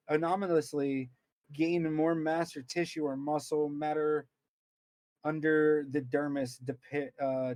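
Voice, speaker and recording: A man's voice: on the high side, a little mellow, no room sound. A man co-hosting a podcast, reading aloud with casual commentary, stumbling over words and repeating himself as he goes. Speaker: podcast co-host.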